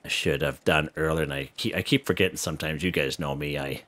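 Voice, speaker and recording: A man hosting a casual gameplay tutorial, relaxed and step by step, who is losing his voice. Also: rumbling low, fast. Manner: relaxed, walks viewers through step by step. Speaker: gaming channel host.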